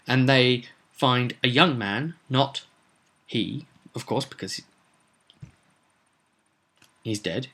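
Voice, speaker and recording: A man telling the story of a tabletop roleplay session as an informal recap, in a halting, conversational way. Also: lowish voice, fairly bright tone.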